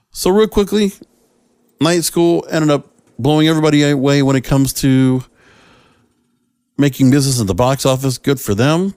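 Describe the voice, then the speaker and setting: A man's voice: medium pitch, a little mellow, no echo, studio quality. A man hosting a solo podcast, giving conversational opinion commentary on entertainment news, often asking rhetorical questions and rephrasing himself mid-sentence. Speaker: podcast host.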